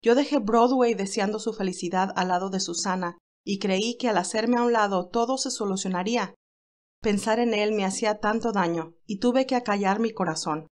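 A woman's voice: medium pitch, close to an everyday voice. A woman voicing an earnest, tender young nurse in an audio story, emotional and caring. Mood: anguished.

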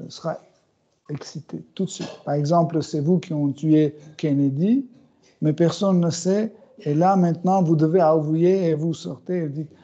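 A middle-aged man giving a scripture class in a small room, speaking slowly and hesitantly with many fillers and soft chuckles. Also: a little high. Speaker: spiritual teacher. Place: small room with bookshelves, indoors. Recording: dull, muffled recording.

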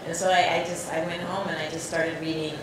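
American woman on a panel, lower voice, chatty and animated, mic a bit far off, big echo and hum. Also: brisk. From United States. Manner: casual, animated storytelling with many likes. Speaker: panel artist. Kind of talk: panel discussion answer. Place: large echoey hall with a live audience. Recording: echoey hall, mic held far off, hum.

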